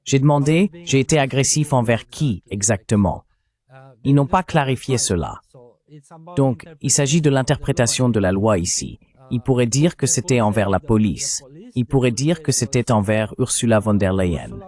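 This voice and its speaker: A man being interviewed, answering in a calm, measured way, voiced over in French. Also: mid-range voice. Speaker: interviewee, protester.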